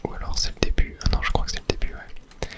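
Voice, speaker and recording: Guy whispering super soft gameplay asmr right up on the mic, chill and casual. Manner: soft, unhurried, casual murmuring to viewers. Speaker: video game streamer. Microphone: close ASMR microphone.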